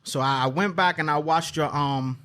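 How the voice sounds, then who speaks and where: Young male interviewer, D.C.-area accent, slightly high voice, easygoing rambling questions. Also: normal speed, bright, forceful tone. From Maryland. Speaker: podcast host, interviewer.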